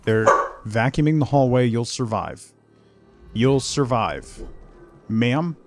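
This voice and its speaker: A man's voice: medium pitch, expressive, slow pace, a little mellow, soft background music. A man chatting casually as he livestreams a game, muttering asides to himself and his viewers. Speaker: game streamer. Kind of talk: casual livestream gameplay chat.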